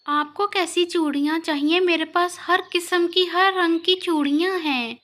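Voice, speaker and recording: A woman voicing a kind, hardworking female bird who sells bangles in a cartoon story, speaking gently. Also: very high voice, unhurried. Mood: friendly. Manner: gentle, pleading.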